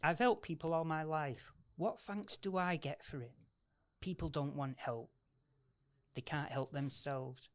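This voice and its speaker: A woman acting a blunt, scornful, low-voiced hard relative. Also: measured pace. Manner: blunt, mocking, dismissive. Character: hard, scornful ex-military relative, pushing property business. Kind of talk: radio play acting.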